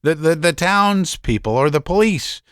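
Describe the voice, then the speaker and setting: A man voicing a gentle, guilty middle-aged doctor who speaks softly and stammers. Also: fairly high pitch, lively intonation, moderate pace, clean tone, no room sound, clean studio sound. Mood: resigned. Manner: soft-spoken, stammering.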